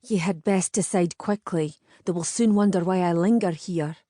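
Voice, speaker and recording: A woman reading aloud doing a bold young Scottish woman, firm and commanding with a sharp tongue. Her tone is mellow and close, with a dry sound. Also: fairly low pitch, moderate pace, no room sound, lightly acted. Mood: urgent. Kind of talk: audiobook character voice.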